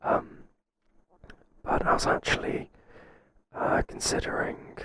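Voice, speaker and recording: Whispering, hesitant male boss, acted by a man. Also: lightly acted. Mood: tentative, admiring. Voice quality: soft, breathy, mostly whispered. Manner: halting, tentative, confiding. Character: hesitant, flattering male boss confiding in an employee. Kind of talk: whispered ASMR roleplay.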